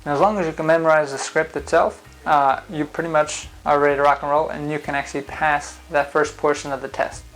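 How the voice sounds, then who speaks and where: Non-native English-speaking man, driving instructor type, relaxed explainer with faint music under him. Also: on the high side, a little fast, few pauses, rough recording. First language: not English. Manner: conversational, explains step by step with frequent "uh" fillers. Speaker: driving school founder and instructor. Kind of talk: casual explainer video.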